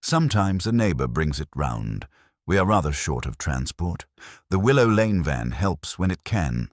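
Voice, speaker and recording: A genteel village vicar from a detective storybook, read by a male narrator, humble yet guarded. He speaks formally and carefully in a very low, expressive voice, recorded dry. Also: moderate pace, no echo, full character voice. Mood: humble, obliging.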